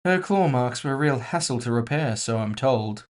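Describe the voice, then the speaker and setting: A composed, scheming woman executive from a cyberpunk audio drama, voiced by a man lifting his pitch. The delivery is polite and casual on the surface, with smug mockery and condescension underneath. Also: lively intonation, moderate pace, natural voice. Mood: coolly dismissive. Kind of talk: audio fiction character dialogue.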